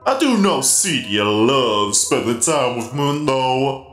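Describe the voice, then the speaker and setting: A genial, theatrical robot spider DJ acted by a man for a cartoon comic dub, playful and teasing. The delivery is unhurried and very animated, in a roomy echo over music. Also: mid-range voice. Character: friendly, playful, easily amused giant robot spider DJ. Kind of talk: fan comic dub character acting.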